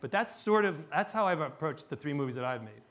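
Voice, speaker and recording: A man's voice: on the high side, big pitch swings, quick pace, a bit bright. A middle-aged American man, a filmmaker on a panel, explaining things in an emphatic, matter-of-fact way.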